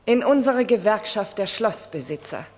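A woman playing a proud, haughty lady of an English castle in a German radio crime play, speaking in a measured, disdainful way. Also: mid-range voice, lively intonation, a little mellow, long reverb tail, natural voice. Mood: scornful.

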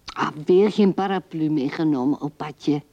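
An actress playing an old widow musing tenderly and haltingly at her husband's grave in a radio drama, her soft voice often dropping to a hush. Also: deep voice, dry recording. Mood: chatty, resigned. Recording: dull old radio recording.

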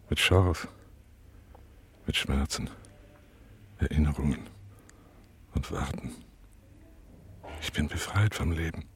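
An actor playing a tortured, numb prisoner in a radio play, speaking a halting, brooding inner monologue in a breathy, gravelly voice. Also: male voice, rumbling low, heavily acted. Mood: bitterly detached. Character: tortured male prisoner in a cell, numb, detached, bitterly weary.

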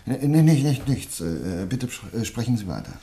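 An actor playing a courteous, worried academic in a radio play, speaking in a hesitant, earnest way over some noise. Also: male voice, medium pitch, very animated, moderate pace, dark tone, breathy edge, no room sound. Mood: evasive. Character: worried, courteous male academic doctor investigating disappearances.

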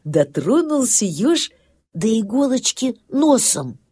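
Female narrator for children's tales: animated intonation, slightly husky, medium pitch, music behind. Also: slow pace. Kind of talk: expressive children's storybook narration.

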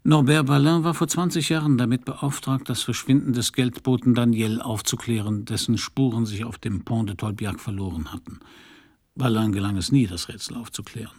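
Actor as a gravelly, world-weary private eye. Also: male voice, medium pitch, fairly even intonation, a little fast, a little mellow, natural voice. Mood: wry, brooding. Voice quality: husky, gravelly. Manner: laconic, dry, sardonic. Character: wry, world-weary male private detective narrating his case. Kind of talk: German radio crime play narration and dialogue.

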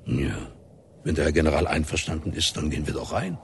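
An actor playing an urbane state commissioner, formal and courteous, interpreting in a radio drama. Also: male voice, a bass voice, expressive, a little fast, a little hiss or hum. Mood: brisk, official.

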